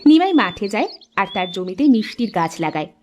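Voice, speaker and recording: A woman's voice: mid-range voice, big pitch swings, fairly quick, darkish tone, a little hiss or hum. A woman reading scripted narration for a children's storybook cartoon.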